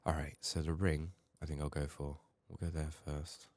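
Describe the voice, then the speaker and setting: A man giving soft-spoken ASMR game commentary, murmuring haltingly and slipping into whispers, his voice strained from being sick. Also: a bass voice, level tone, no room sound. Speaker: ASMR gaming video host.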